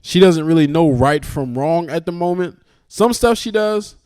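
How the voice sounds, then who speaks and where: A man's voice: fairly high pitch, moderate pace, clean tone, dry recording, clean studio sound. A man in his thirties co-hosting a podcast, chatting casually and telling stories with a lot of laughter. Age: 30s. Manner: animated storytelling, laughs often. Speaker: podcast co-host. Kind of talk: casual chat.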